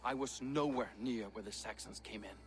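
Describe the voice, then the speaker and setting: An actor playing an offended Viking shipyard worker who answers curtly and indignantly. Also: male voice, mid-range voice, normal speed, a little hiss or hum, lightly acted. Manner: curt, indignant.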